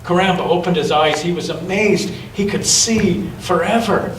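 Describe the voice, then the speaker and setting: A man reading a children's story aloud with expression, in measured phrases and with chatty asides to young listeners. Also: sits high, a little hiss or hum. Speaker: storyteller at a church gathering. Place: large indoor church room. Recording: roomy church recording, distant mic.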